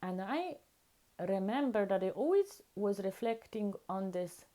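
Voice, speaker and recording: A woman's voice: mid-range voice, big pitch swings, a little slow, dark tone. A woman with a Polish accent, speaking as a vlogger in an earnest, reflective talk to camera and repeating words for emphasis.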